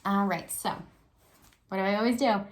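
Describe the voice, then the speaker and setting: A woman's voice: medium pitch, fairly even intonation, normal speed. Woman, elementary teacher. Read-aloud lesson for young pupils, encouraging, explains simply and keeps checking understanding. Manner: encouraging, simple questions, frequent check-ins.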